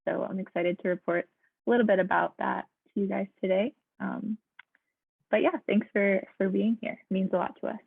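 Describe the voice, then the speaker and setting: Young woman, American accent, raspy vocal fry, dry tinny call sound, informal introduction. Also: mid-range voice, steady tone, brisk, dry recording. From Pittsburgh area, United States. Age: young adult. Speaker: graduate student intern. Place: online meeting. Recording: thin, close, phone-like call audio.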